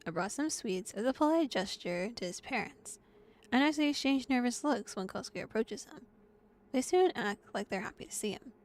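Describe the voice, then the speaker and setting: A young adult woman streaming, narrating a visual novel aloud and breaking into excited, laughing reactions. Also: fairly high pitch, normal speed. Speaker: VTuber streamer.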